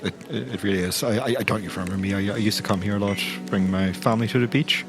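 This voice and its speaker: Deep-voiced, awkward Northern Irish family man, man roleplaying. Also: fairly even intonation, quick pace, noisy background, lightly acted. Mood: awkward, sympathetic. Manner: stammering, apologetic, chatty. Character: earnest, awkward family man visiting his old local pub. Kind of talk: improvised tabletop roleplay.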